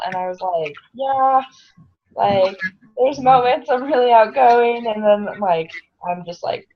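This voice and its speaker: A woman's voice: mid-range voice, muffled recording. A woman on a casual group call, chatty and self-deprecating.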